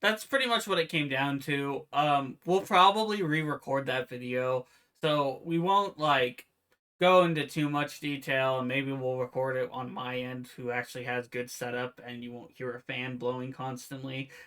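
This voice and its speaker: Bright quiet-voiced man, casual podcaster. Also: medium pitch, normal speed, smooth. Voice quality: naturally quiet voice. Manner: explains game rules in a relaxed, meandering way. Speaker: podcast co-host. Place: home room with fan noise removed by software.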